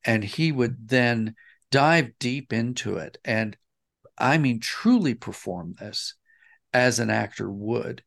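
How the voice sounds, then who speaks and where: A man's voice: medium pitch, expressive, slow, vocal fry, fairly bright tone. A man answering as an interview guest, explaining thoughtfully and rephrasing as he goes. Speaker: interview guest, audio drama writer and director.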